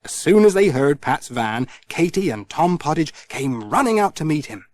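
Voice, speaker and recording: A man narrating a scripted children's story in an expressive storytelling manner. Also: fairly high pitch, moderate pace, no room sound. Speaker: audiobook narrator.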